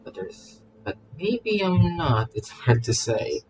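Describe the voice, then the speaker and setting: A man streaming a game, reading dialogue aloud in a halting way with repeated words and casual reactions. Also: a little high, very animated, background noise.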